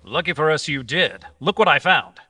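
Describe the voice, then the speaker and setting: A man playing an earnest, authoritative hero of an old-time radio adventure, explaining things and giving brisk orders. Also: fairly high pitch, lively intonation, sharp tone. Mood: brisk, commanding. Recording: live stage recording with background noise.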